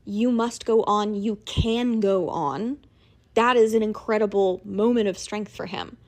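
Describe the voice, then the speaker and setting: A woman delivering a dramatic, persuasive voice submission, theatrical and emphatic, with deliberate pauses. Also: mid-range voice. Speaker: listener sending a voice submission.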